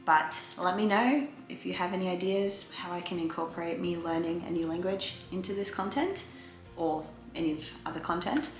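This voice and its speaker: A woman's voice: fairly low pitch, moderate pace. A woman, chatting casually to viewers as a vlogger giving an update. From Australia. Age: 20s. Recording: roomy echo, faint music behind.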